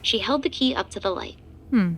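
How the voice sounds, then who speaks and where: Expressive female storyteller with creaky edge. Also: medium pitch, fast, background noise. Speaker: narrator. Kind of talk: scripted third-person audio drama narration.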